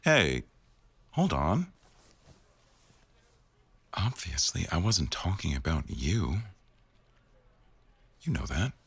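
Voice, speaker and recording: A man acting an attentive, tender boss in an intimate boyfriend ASMR roleplay, murmuring slowly to an employee in a gravelly voice. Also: wildly swooping pitch, dry recording, heavily acted. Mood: reassuring, adoring. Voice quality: very deep, gravelly.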